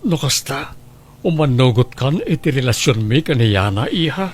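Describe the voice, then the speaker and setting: A man's voice: mid-range voice, big pitch swings, normal speed, a little hiss or hum, close to an everyday voice. A man playing an earnest, emotional middle-aged father in love in a radio drama. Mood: hopeful, grateful.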